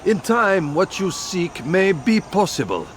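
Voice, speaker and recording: An actor playing a proud, worldly crusader king in a video game, wry and philosophical, with a measured, grandiose manner. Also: high voice, very animated, slight background noise. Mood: measured, weary. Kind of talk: dramatic video game acting.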